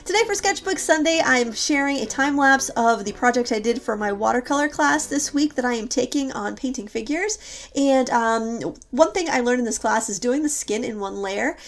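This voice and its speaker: Hoarse, stuffy-voiced woman narrating a craft lesson. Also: a little high, moderate pace. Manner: relaxed and chatty, with frequent ums and restarts. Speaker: craft channel host. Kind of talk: casual narration over a painting time lapse. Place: home basement studio.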